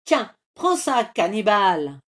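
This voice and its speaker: A woman's voice: fairly low pitch, big pitch swings, measured pace, a little mellow. A woman doing a bold young rooster hero. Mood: fierce. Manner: rousing, bold calls. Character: brave young rooster boy.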